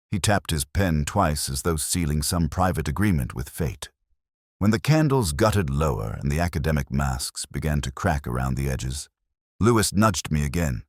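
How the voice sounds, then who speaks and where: A man narrating a literary detective story in the first person, in a measured, solemn and reflective manner. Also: a bass voice, slightly rough, no room sound, studio quality.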